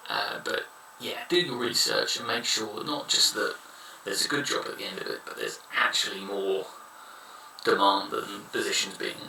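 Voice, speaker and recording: A frank man in his thirties, talking casually and bluntly with a low, gravelly voice. His tone is sharp and bright. He sounds a little distant from the mic in an echoey room. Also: slight background noise. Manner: frank, rambling, blunt opinions. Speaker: vlogger giving advice. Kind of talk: casual advice monologue.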